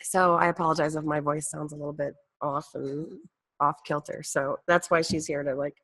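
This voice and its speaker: A woman's voice: deep voice, flat delivery, normal speed, a bit bright. A woman hosting a casual live talk show over a video call, chatting upbeat with many fillers while she has a cold. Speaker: host.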